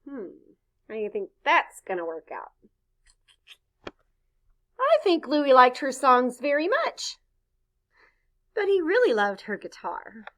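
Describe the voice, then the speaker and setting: A woman reading a children's story aloud expressively, pausing to chat with her listeners. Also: high-pitched. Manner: expressive, pauses to point things out and ask questions. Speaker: storybook reader.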